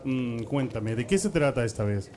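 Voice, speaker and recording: Man playing a measured high priest, noisy backdrop. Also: lively intonation, darkish tone, close to an everyday voice. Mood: measured approval. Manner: measured, pondering, with thoughtful hums. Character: approving, authoritative high priest in Jerusalem. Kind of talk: religious radio drama acting.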